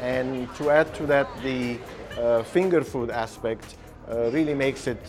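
Man, restaurant owner, reflective, hesitant, mid pitch, music bed. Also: measured pace. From Lebanon. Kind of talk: interview soundbite.

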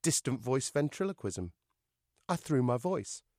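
A man's voice: mid-range voice, big pitch swings, unhurried, a little mellow, no echo, studio quality. Man playing a cocky, stubborn young ventriloquist boy. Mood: proud. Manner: self-assured, defiant. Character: confident, stubborn, rebellious boy ventriloquist with big ambitions. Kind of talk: audiobook character voice.

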